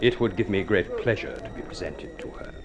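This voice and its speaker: An actor playing a proud, suave Englishman with a grand, deliberate, theatrical delivery in an old radio drama. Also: low-pitched, muffled recording, a crowd in the background, natural voice. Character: ugly, masterful, silver-tongued Englishman, suave and proud. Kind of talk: 1950s radio drama acting and narration. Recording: old radio recording with music.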